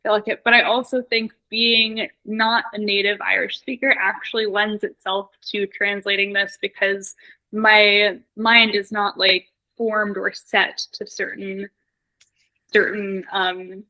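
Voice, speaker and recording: Bright-voiced chatty woman podcaster. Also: medium pitch, little pitch movement, unhurried, rough recording. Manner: eager, chatty, many likes and restarts. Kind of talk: casual conversation.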